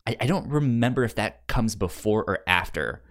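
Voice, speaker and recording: Man chatting haltingly, repeating syllables. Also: fairly low pitch, lively intonation, fairly bright tone. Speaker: film podcast co-host. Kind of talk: casual film discussion.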